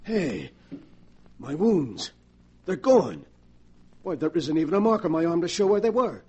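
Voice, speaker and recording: Cocky, high-pitched gangster. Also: male voice, big pitch swings, measured pace, warm tone, husky, heavily acted. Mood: exultant. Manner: tough-talking racketeer slang, menacing. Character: ruthless, cocky gangster who believes himself invincible. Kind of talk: 1940s radio acting.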